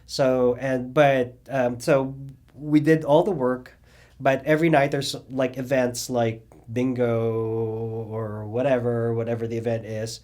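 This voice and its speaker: Middle-aged man, animated storyteller, lots of fillers, mid-range voice. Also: medium pitch, unhurried. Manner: rambling, animated storytelling with many fillers and restarts. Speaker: interviewee, cabaret singer and drag performer. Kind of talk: oral history interview.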